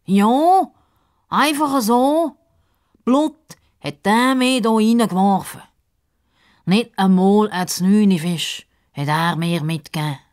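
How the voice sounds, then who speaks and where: A woman voicing a self-important, dreamy male crocodile in a children's story, with a pompous, deliberate delivery and a Swiss German accent. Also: medium pitch, darkish tone, close to an everyday voice. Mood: aggrieved.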